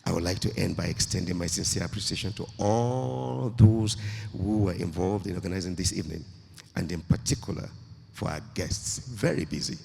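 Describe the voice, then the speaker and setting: A man giving a slow, measured formal speech at a launch event in a hall. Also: low-pitched, creaky, dark tone, slightly rough. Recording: long hall reverb.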